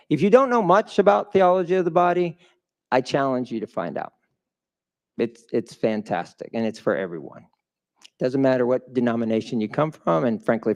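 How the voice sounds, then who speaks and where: A man's voice: mid-range voice, darkish tone. A man giving a live motivational fundraiser speech as a guest speaker, emphatic and putting questions to the audience. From a developing country.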